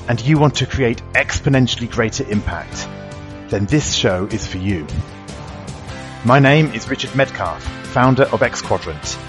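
A man hosting a podcast for executives, introducing his guest and interviewing her in an earnest way with frequent fillers. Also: medium pitch. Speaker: podcast host and executive coach.

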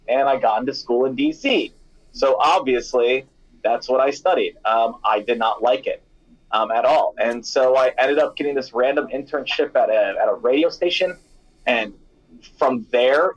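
Young male founder, casual and animated, mid pitch, a little muffled. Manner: chatty and animated, many fillers like "you know" and "like". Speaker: snack company founder, former radio DJ. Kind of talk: casual interview answers.

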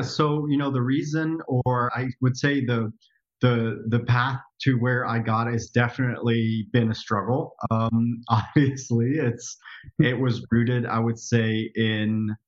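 A man in his thirties from the Netherlands, a podcast guest telling his story in a reflective, halting way with many restarts. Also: medium pitch, lo-fi sound. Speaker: podcast guest, software developer. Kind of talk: casual interview storytelling.

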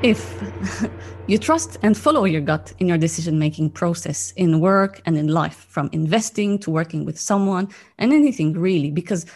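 A woman's voice: on the low side, normal speed, a little hiss or hum. A woman from Lebanon speaking conversationally as a podcast host and interviewer.

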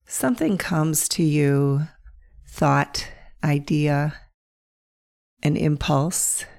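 A middle-aged woman from Pennsylvania hosting her podcast, hoarse and croaky from bronchitis, telling stories in a gentle, playful way. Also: low voice, drawn-out words. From north central Pennsylvania. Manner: gentle, playful storytelling with soft laughs. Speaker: podcast host. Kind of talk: reflective solo podcast monologue.

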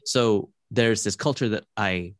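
Young man from Malaysia, low voice, dry close sound, reflective interview guest. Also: no room sound. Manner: thoughtful, measured, frequent "like" and restarts. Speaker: podcast guest.

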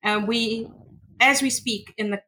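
A woman's voice: on the high side, fairly even intonation, a little slow, pushed and bright, rough recording. A woman with a South African accent, a guest on a podcast, telling her story in a chatty way with frequent ums. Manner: chatty, hesitant, many ums. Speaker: guest, tech consultant. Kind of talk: casual interview conversation.

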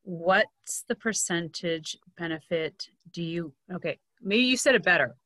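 A woman's voice: fairly low pitch, expressive, unhurried, bright, forceful tone, dry recording. A woman co-hosting a casual podcast, chiming in with short agreeing remarks. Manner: brief agreeing interjections. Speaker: podcast co-host.

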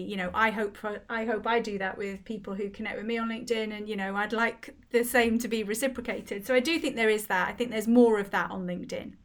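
A woman from Wales, a business founder, speaking articulately as a guest in a casual interview. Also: medium pitch. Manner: articulate and fluent.

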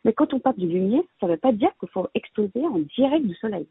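A woman gives plant-care advice as a guest expert, her voice strongly raspy and gravelly. She talks hesitantly, restarting sentences around fillers. The sound is dry and narrow, like a phone line. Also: mid-range voice, animated, fast talker, dry recording. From Vietnamese heritage, living in France.

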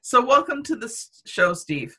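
A woman from Canada hosting a podcast, introducing her guest with unhurried enthusiasm. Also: on the high side, very animated, pushed and bright, husky. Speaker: podcast host. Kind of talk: interview hosting.

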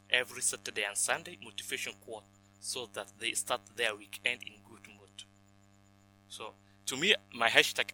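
A young man gives earnest interview answers. He lists his work item by item and often says "you know". His voice is very bright, with faint music behind him. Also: medium pitch, rough recording. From Somalia, raised in Kenya. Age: 20s. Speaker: podcast guest.

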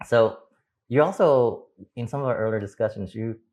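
A woman's voice: rumbling low, moderate pace, a little mellow. A woman hosting an interview, asking questions in an admiring, encouraging way. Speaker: interviewer host. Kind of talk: conversational interview.

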